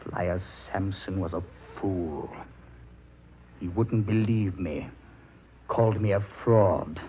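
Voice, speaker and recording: An actor playing a sinister, coldly vain fortune teller in an old radio drama, speaking with formal, deliberate menace. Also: male voice, low voice. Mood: cold, vengeful. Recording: muffled, noisy old radio recording.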